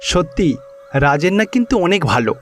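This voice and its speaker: A man playing a calm, benevolent male god in a cartoon story, speaking in a measured, assuring way. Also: high-pitched, slight background noise. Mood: thoughtful.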